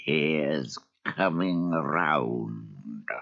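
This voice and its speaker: A man playing a solemn, menacing ancient Egyptian high priest with a strange booming voice in a cartoon audio story. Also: lively intonation, extremely slow, gravelly, heavily acted. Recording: dull lo-fi cassette transfer.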